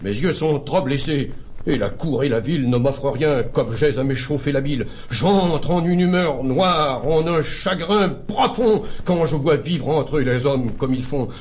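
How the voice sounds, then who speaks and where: An actor playing an indignant, uncompromising hater of humankind, declaiming classical French verse with vehement emphasis. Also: male voice, a little high, unhurried, a little mellow, slight background noise, natural voice. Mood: bitter, enraged. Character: indignant, uncompromising man who hates all humankind.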